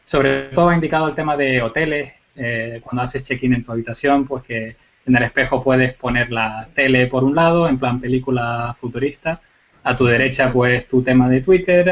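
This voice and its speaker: Chatty man, Canarian-Cuban accent, muffled call. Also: medium pitch. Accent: Canarian Spanish mixed with Cuban. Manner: relaxed, chatty, joking asides. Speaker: guest panelist, forensic IT. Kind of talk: casual explaining on a video call panel. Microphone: video call.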